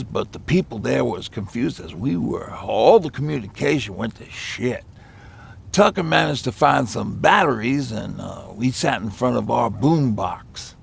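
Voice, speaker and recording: An actor playing a weathered survivor who recalls hard times in a halting, reflective way, with a gravelly, husky voice, in a naturalistic video game style. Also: male voice, mid-range voice, fairly bright tone, heavily acted.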